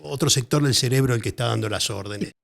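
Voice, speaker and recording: A man with an Argentine Spanish accent, a writer being interviewed, talking in a thoughtful, halting way and often repeating words as he searches for phrasing. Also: mid-range voice, vocal fry, fairly bright tone. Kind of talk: casual interview conversation.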